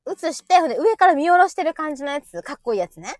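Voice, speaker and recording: High-pitched, animated Kansai woman. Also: fast, no room sound, clean studio sound. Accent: Kansai Japanese. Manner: giggly, rambling storyteller who teases. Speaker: co-host of a live audio show. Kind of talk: casual comic banter.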